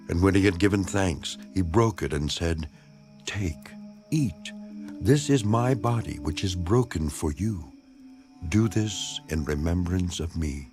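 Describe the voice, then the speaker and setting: A man giving a dramatized scripture reading in a solemn, declamatory, measured manner. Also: on the low side. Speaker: narrator of a dramatized Bible reading. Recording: voice over background music. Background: music.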